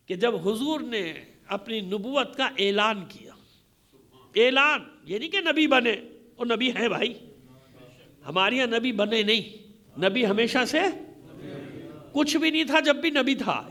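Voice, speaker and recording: A man's voice: very high-pitched, very animated, unhurried, pauses often, pushed and bright. A man preaching an impassioned majlis sermon in a combative, declamatory way, using rhetorical questions and emphatic repetition. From Pakistan.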